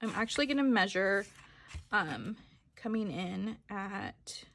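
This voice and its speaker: A woman's voice: mid-range voice, sing-song, slow pace, vocal fry, a bit bright, slight background noise. A woman giving a casual step-by-step craft tutorial, informal, correcting herself and thinking aloud as she goes.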